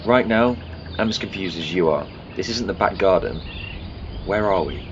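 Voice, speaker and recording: A man playing a flustered, excitable alien time traveller in an amateur audio drama, stammering and quick with excuses. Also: deep voice, dull audio, background noise, lightly acted. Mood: puzzled.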